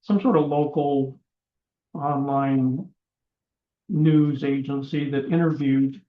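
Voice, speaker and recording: Hoarse man chairing a meeting, echoey room. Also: medium pitch, steady tone. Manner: halting, many fillers. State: losing his voice. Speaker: commission chair running a town meeting. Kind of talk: meeting proceedings. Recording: echoey room, dull lo-fi sound.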